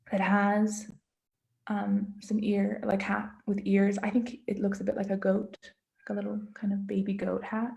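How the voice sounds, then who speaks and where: A woman with a medium-pitched voice and a noticeable creak speaks as a children's author on a video call. She is casual and expressive, encouraging young listeners and putting questions to them. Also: lo-fi sound. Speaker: children's book author giving a read-aloud. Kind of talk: casual interactive talk to children.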